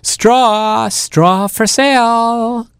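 A man's voice: very high voice, fairly even intonation, very slow, clear, resonant tone, dry recording, pitched above the performer's own voice, exaggerated voice. A man voicing a male seal who sells goods from a bobsled, calling out his wares in a sing-song. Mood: hawking. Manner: sing-song street-seller calls. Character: huckstering male seal peddler, cheery salesman.

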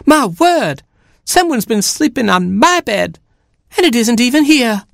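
A storyteller voicing a bossy, quick-tempered mother hen who clucks and scolds. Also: fairly low pitch, very animated, no room sound, clean studio sound, voice pushed up. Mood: scolding.

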